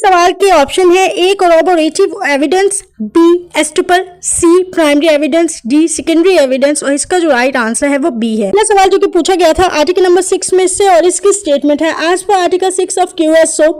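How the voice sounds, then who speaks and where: A woman reads law exam questions aloud as an online tutor, with a high, light voice. She takes each line slowly and carefully, giving the options and the right answer one by one. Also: a little mellow. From Pakistan. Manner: slow, deliberate reading of legal text, brisker between questions.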